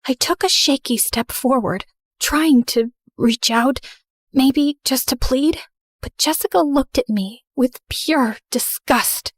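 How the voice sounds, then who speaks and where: A woman acting as a guilt-ridden teenage girl telling her own story. The voice is fairly high and very dry, with a confessional, dramatic first-person narration. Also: slow pace, no echo. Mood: sombre. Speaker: narrator and main character.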